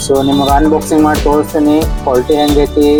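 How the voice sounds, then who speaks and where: A man's voice: fairly high pitch, completely flat delivery, fast talker, music in the background. A man with a North Karnataka accent giving a casual product unboxing and review, in a repetitive way with short exclamations. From Karnataka, India.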